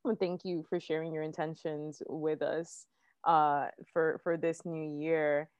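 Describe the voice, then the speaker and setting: Low-voiced enthusiastic woman podcast host. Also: little pitch movement, unhurried, darkish tone, clean tone, no room sound. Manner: enthusiastic, rambling with restarts. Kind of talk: casual podcast chat. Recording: dry, dull lo-fi sound.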